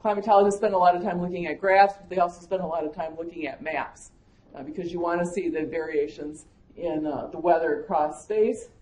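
A woman's voice: on the low side, steady tone, fairly quick, a little mellow. Woman lecturer with a Wisconsin accent. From Michigan, USA. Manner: conversational, explanatory, frequent fillers. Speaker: agricultural climatologist, lecturer. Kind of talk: conference lecture with slides. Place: conference room. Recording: echoey lecture room.

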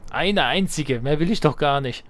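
A man's voice: on the high side, lively intonation, moderate pace, fairly bright tone. A man giving casual let's play commentary, grumbling and talking to the game's characters. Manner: grumbling, talks to game characters.

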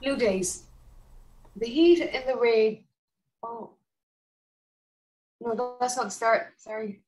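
Slow-paced woman reading poetry. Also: on the high side, darkish tone, rough recording. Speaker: writer reading own poem. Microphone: video call.

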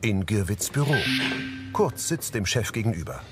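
A man's voice: on the low side, very animated, normal speed, over music. A man giving concise, neutral scripted narration of on-screen action as an audio describer.

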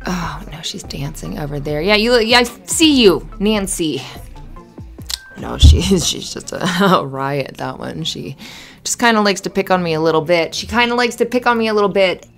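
A woman playing a flustered, friendly young café barista in an ASMR roleplay, chatty and halting in a natural conversational way. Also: on the low side, lively intonation, creaky, faint music behind. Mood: bashful, amused. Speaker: voice actor in audio roleplay.

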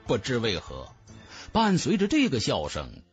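Very animated male audiobook narrator. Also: medium pitch, a little slow, faint music behind. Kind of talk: dramatic scripted fantasy narration.

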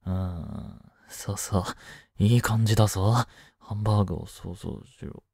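A man's voice: deep voice, moderate pace, vocal fry, mellow, slightly rough, no room sound, clean studio sound, heavily acted. A man playing a flustered teenage boy jealous over his childhood friend in a romantic voice drama, boyish and hesitant. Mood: distracted, brooding. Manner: boyish, hesitant, muttering to himself. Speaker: amateur voice-drama actor. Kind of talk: Japanese romantic voice drama acting.

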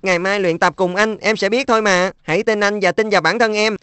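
Woman as kind big-brother schoolboy, cartoon dub: steady, encouraging, dry. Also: medium pitch, fast talker, clean tone, no echo, natural voice. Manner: reassuring, coaxing.

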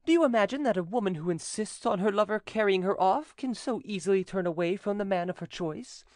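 A narrator voicing an eager, cocky young nephew in an animated, gushing manner for a storybook. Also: medium pitch, brisk, no room sound, clean studio sound, voice pushed up, close to an everyday voice. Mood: enthusiastic.

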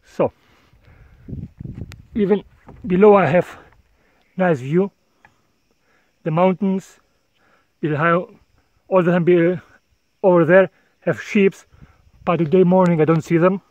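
A man in his thirties gives an unhurried walk-and-talk tour of his farm, filming himself on a handheld phone. He talks in plain, non-native phrasing and chuckles often. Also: high voice, fairly even intonation, a little mellow, no echo, muffled recording. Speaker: farmer vlogger. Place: outdoors on a hillside farm and inside a bird shed. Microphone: phone camera at arm's length.

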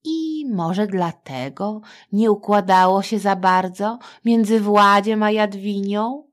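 A woman's voice: medium pitch, slow pace, soft background music. A middle-aged woman telling history to children in a chatty, playful, theatrical way, with asides. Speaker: storyteller, podcast host.

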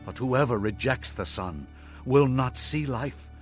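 A man's voice: medium pitch, expressive, a little slow, husky, soft background music. An actor playing a fervent prophet, declaiming his testimony in a dramatized scripture reading. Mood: fervent, exalted.

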